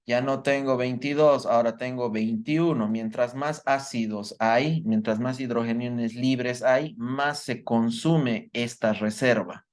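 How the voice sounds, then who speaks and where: A man teaches a live workshop. His voice sits at a middle pitch, and he works through each idea step by step. He stops often to ask his students whether they have understood. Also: steady tone, slow pace, few pauses. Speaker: medical lecturer. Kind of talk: live online workshop lecture.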